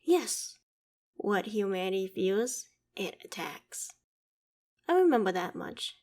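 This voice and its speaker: A woman's voice: mid-range voice, sing-song, slow, a little mellow. Proud, imperious ancient dragon, acted by a woman. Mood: proud, dismissive. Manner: formal archaic diction, imperious, theatrical. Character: proud ancient female dragon, haughty but fond of humans. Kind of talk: scripted audio roleplay monologue.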